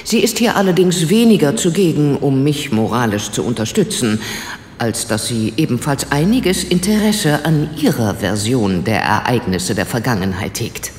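A woman's voice: extremely low pitch, sing-song, normal speed, a little mellow, echoey room, in a large hall, a little hiss or hum. A woman playing a polite, determined investigator in a German radio play, courteous and direct. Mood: courteous, probing.

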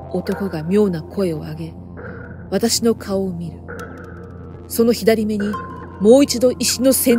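A woman performing a first-person horror story narration in a theatrical, intense manner, moving between hushed and emphatic delivery. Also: mid-range voice.

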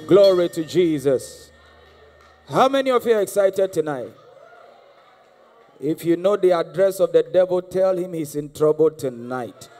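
A Ghanaian man in his thirties preaches from the stage of a large church with a handheld mic. His voice sits high and carries a long hall reverb. Faint music plays beneath as he urges the congregation to respond. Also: animated, measured pace, pauses often, dark tone. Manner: exhorting, repeats calls for the crowd to answer. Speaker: guest prophet preacher. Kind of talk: charismatic sermon.

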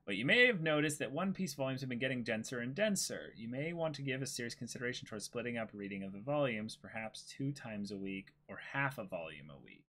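A man's voice: medium pitch, expressive, fast talker, few pauses, bright, forceful tone. A young man chatting casually as co-host of a livestream and reading out viewer comments. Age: young adult. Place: small indoor room, seated.

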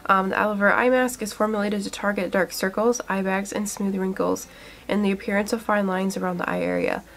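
A woman's voice: mid-range voice, steady tone, fairly quick, a bit bright. A young woman talks through a skincare test with a stuffy, congested voice from a cold. She chats eagerly and loosely, filling her talk with likes and ums. She sounds close to the camera in a small room. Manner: chatty, filler-heavy, eager. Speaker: beauty vlogger. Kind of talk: casual product review.

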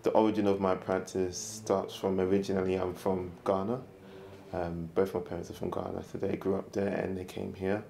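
Low, gravelly man, calm. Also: moderate pace, slight background noise. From London. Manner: calm, reflective, soft-spoken. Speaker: woodturner, interviewee. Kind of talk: interview. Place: woodturning studio workshop.

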